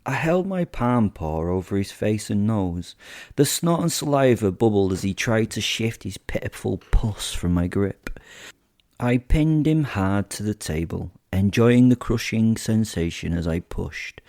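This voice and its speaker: A man's voice: lowish voice, lively intonation, a little slow, a little mellow, dry recording. A man voicing a sadistic werewolf killer, narrating a horror audio drama in a theatrical, savouring, wordy way. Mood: gloating, amused. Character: sadistic gloating male werewolf killer telling his hunt.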